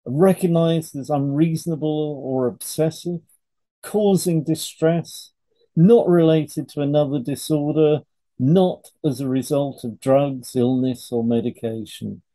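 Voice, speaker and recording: A man co-hosting an educational podcast, reading scripted material in a measured, instructive way. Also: on the high side, steady tone, dark tone, rough recording. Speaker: podcast co-host, hypnotherapist. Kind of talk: scripted educational podcast read aloud in turns.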